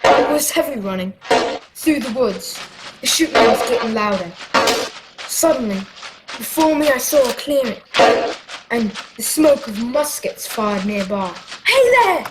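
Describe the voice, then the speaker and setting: A woman's voice: a little high, very animated, unhurried, long pauses, heavy background noise. A woman playing an earnest young boy adventurer who narrates his own tale in an audio drama. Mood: urgent. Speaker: narrator and lead character.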